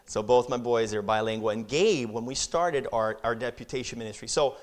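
A man in his thirties who grew up in Brazil speaks at a mid pitch to a church audience. He is earnest and conversational, and he often doubles back on his words as he explains. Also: fairly quick. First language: Portuguese. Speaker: missionary. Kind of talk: church presentation, Q&A.

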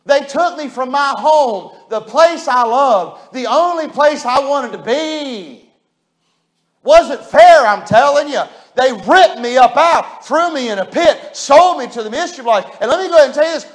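A man's voice: very high voice, lively intonation, a little slow. A man, a preacher, voicing the biblical Joseph as an aggrieved young man who pleads that no one understands what he has gone through. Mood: pleading. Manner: pleading, indignant complaining. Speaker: pastor.

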